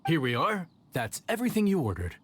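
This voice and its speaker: A man's voice: on the high side, wildly swooping pitch, fairly quick, a little hiss or hum. An actor playing a level-headed, polite young male inn chef in an anime-style video game. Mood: courteous.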